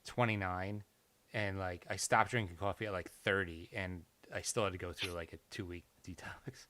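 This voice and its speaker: Thirties guy, low but bright voice, blunt and skeptical, dry sound. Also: steady tone, moderate pace, slight background noise. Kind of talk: casual chat.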